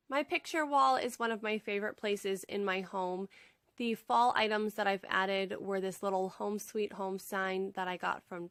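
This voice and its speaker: A woman's voice: medium pitch, fairly bright tone. Woman with a head cold. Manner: friendly, chatty and upbeat. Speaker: home decor vlogger. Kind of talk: casual how-to narration.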